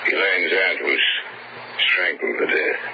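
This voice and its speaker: An actor playing a firm, skeptical middle-aged doctor in an old radio horror drama, reasoning insistently. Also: male voice, deep voice, slow pace, background noise. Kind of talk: 1940s-50s radio horror acting. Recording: muffled old radio, loud music behind.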